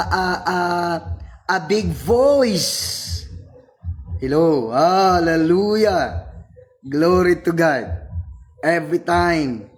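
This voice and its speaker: Filipino pastor, husky, fairly high voice, slow emphatic repeats for a sermon. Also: male voice. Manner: slow, emphatic, repeats phrases and asks the congregation "No? Hello?". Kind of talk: sermon with decrees and call-and-response.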